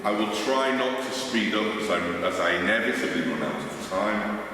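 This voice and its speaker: A man from Liverpool delivers an academic lecture in his local English accent. His low voice has a bright, forceful edge and is heard from off in a very echoey hall. He speaks deliberately, with emphasis and dry asides. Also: animated. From Liverpool, England. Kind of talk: conference lecture. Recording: echoey hall, distant mic, faint noise.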